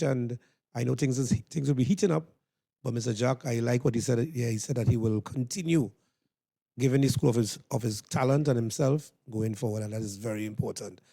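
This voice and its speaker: Fast-talking Trinidadian man hosting, dry sound. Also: mid-range voice, creaky, warm tone, dry recording. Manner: encouraging. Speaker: interviewer, event host. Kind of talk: live broadcast interview.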